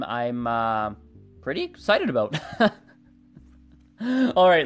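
A young adult host whose first language is Gujarati, thinking aloud in a casual monologue and often hedging and correcting themself. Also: mid-range voice. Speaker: channel host.

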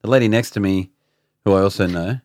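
A man's voice: fairly low pitch, a little fast, a little mellow, no echo, clean studio sound. A man with an Australian accent co-hosting a podcast in casual chat, joking and self-mocking. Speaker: podcast co-host.

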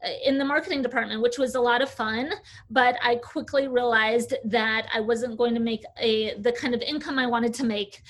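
A woman in her forties, an agency founder, telling her career story in a relaxed interview with frequent "uh"s. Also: a little high, moderate pace. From United States. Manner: chatty, fluent storyteller with filler "uh"s. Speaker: agency CEO, guest. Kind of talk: casual interview talk.

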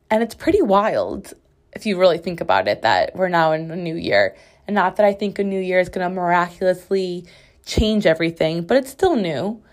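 A young woman talks through her solo podcast in a loose, chatty ramble, peppered with "like." Her voice is slightly raspy as it recovers after being lost. She records from her bathroom at home. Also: fairly low pitch. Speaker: podcast host. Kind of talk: casual solo podcast chat.

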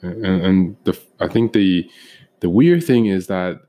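Deep-voiced man, hesitant podcast host. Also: animated, slow pace, dark tone. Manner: hesitant, many ums and restarts. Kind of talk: casual podcast chat.

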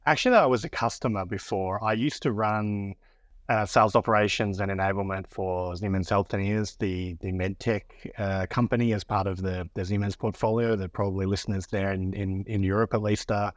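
A man's voice: fairly low pitch, steady tone, brisk, no room sound, studio quality. An Australian man speaking as a podcast guest in casual interview talk, often repeating words as he finds his phrasing. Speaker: podcast guest, business executive. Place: Austin, Texas (based).

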